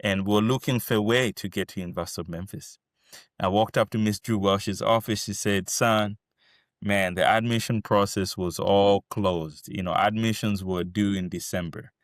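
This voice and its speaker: A man's voice: fairly low pitch, fairly even intonation, normal speed, no room sound, studio quality. A man in his thirties with a Ugandan accent, telling his life story earnestly, with many fillers and restarts. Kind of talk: personal storytelling to camera.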